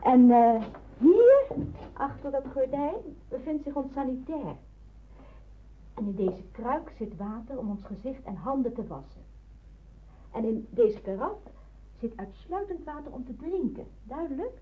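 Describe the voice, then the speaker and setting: Watchful, lively-toned woman in hiding. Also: high-pitched, a little slow, breathy edge, heavily acted. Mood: practical, cautioning. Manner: explanatory, cautioning. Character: watchful practical nurse in hiding, instructing newcomer. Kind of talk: Dutch radio drama acting. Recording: narrow phone-like old radio recording.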